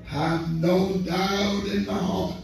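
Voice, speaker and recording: A man's voice: high-pitched, level tone, slow pace, darkish tone, faint music behind. A man, an assistant pastor, preaching a sermon with fervent repeated phrases and call-and-response. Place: large church sanctuary. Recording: roomy distant church recording with faint noise.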